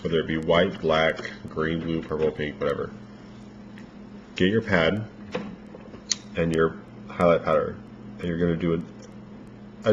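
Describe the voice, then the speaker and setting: A low-voiced man talks through a makeup tutorial in a loose, rambling way, his voice a bit worn as if he is losing it. The recording is dull and lo-fi, with a faint hiss behind him. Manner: relaxed, rambling instructions with fillers.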